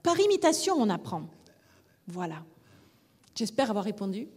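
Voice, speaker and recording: A woman's voice: mid-range voice, sing-song, moderate pace, a little mellow, slightly rough. A woman giving a conference talk on pronunciation as a phonetics trainer, explaining patiently and tapping out rhythms with syllables. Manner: demonstrative, sings rhythm syllables to illustrate; explanatory, restarts phrases. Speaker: phonetics trainer and school director.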